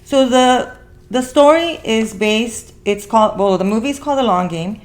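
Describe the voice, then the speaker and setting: A woman's voice: on the high side, normal speed. A woman hosting a podcast in casual conversation, rambling as she repeats and rephrases herself. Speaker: podcast host.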